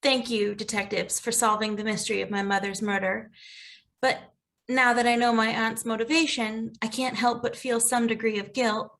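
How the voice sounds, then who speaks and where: A woman playing an earnest, anxious orphaned young woman in an animated comedy, with emotional delivery. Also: on the high side, moderate pace, gravelly. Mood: guilty, earnest. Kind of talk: animated comedy table read.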